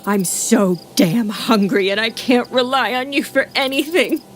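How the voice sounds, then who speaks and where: Casting: woman to play a starving runaway, high voice, hard and stubborn, apocalyptic radio play. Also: a little slow, a little hiss or hum, lightly acted. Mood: bitter, threatening. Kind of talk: audio drama acting. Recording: live-streamed audio play with music bed.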